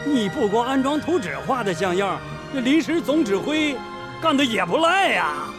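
A man's voice: extremely high pitch, sing-song, normal speed, over music. A man acting a hearty, good-natured factory worker in a radio drama, boisterous and exclamatory. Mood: jubilant. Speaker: supporting actor in a radio drama.

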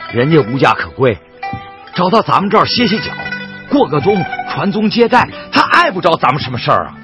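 An actor playing an earnest, good-hearted middle-aged boatman with a folksy, chatty manner in a radio drama. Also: sits high. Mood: earnest, pleading. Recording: muffled lo-fi broadcast with music behind.

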